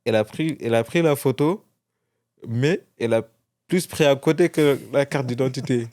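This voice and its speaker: A man's voice: medium pitch, normal speed, a little mellow. A young man from France, a guest in an interview, telling his story casually with many restarts. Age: young adult.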